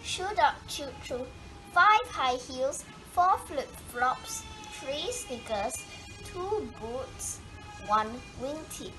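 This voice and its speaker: A woman's voice: sits high, animated, drawn-out words, over music. A woman reading a children's picture book aloud expressively, drawing out words for dramatic emphasis. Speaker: storybook reader. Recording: clearly noisy home recording.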